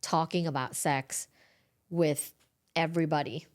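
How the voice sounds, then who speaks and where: A woman's voice: deep voice, steady tone, measured pace. A young adult woman from Thailand, a podcast guest who talks candidly and frankly about her life, with many fillers. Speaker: podcast guest, sex educator. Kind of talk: casual interview chat.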